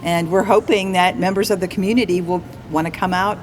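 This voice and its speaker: A woman's voice: fairly low pitch, steady tone, brisk. An American woman explaining and encouraging as a guest in a casual interview. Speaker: guest, community theater player. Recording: clearly noisy background.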